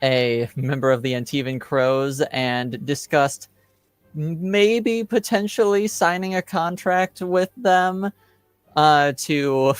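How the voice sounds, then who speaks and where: Male game master, very slow halting phrasing, clean resonant voice, dry close sound, casual recap style. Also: mid-range voice, clean tone, no echo. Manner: measured, halting, pausing between phrases.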